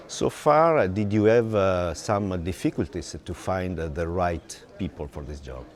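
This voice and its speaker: A man working as an interviewer, putting questions in halting, non-native English. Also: deep voice.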